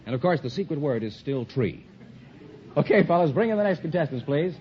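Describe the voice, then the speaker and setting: A man's voice: fairly high pitch, animated, a little fast. An American man announcing scores and contestants on a mid-century radio quiz. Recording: muffled, noisy 1940s-50s radio recording with audience. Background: studio audience.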